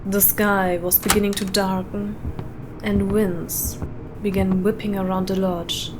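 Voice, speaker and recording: A woman narrating a short story in a deliberate way with dramatic pauses. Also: medium pitch, little pitch movement. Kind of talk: scripted narration, atmospheric storytelling. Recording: windy howling ambience behind voice. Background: added wind or howling ambience.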